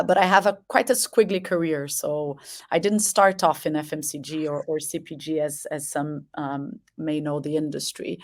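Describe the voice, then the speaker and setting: A woman from Brazil in her forties speaks as a webinar guest over a video call. Her voice is notably low. She talks in a steady, measured way, filling pauses with uh and um and repeating words as she goes. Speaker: guest, company president. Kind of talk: self-introduction in webinar.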